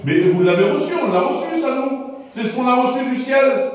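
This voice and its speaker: High-voiced man preaching in echoey hall. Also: expressive, brisk. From France. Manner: colloquial, repetitive, asks the room "vous comprenez". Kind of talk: informal sermon. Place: church hall. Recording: echoey church hall from far off.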